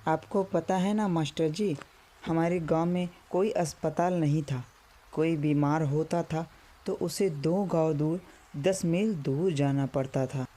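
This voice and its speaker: A woman's voice: low voice, fairly even intonation, a little slow, mellow. A woman voicing an earnest, worried villager in a cartoon story. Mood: sad, worried. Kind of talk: Hindi cartoon story dialogue.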